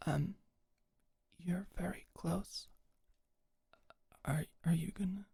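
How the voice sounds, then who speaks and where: A young woman playing a tough but awkward, secretly tender delinquent girl in an intimate ASMR roleplay, speaking softly and hesitantly. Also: lowish voice, vocal fry, husky, exaggerated voice. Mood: shy, tender.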